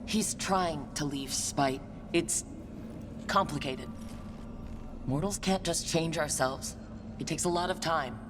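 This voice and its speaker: An actor playing a calm, supportive fantasy hero in a video game, talking in a measured, earnest way. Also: mid-range voice, close to an everyday voice. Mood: calm, sympathetic. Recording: noisy game ambience behind.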